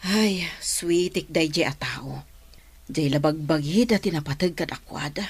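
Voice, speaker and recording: Radio drama role: actress as poor rural wife and mother, low voice, plain and worried. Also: unhurried, slightly rough, full character voice. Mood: aggrieved.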